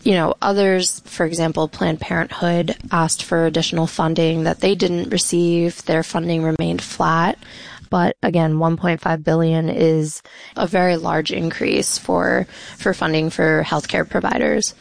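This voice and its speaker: A woman's voice: low-pitched, flat delivery, a little slow, gravelly. A woman reporter on a radio interview, explaining policy in a matter-of-fact, informed way with many "you know"s. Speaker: reporter guest.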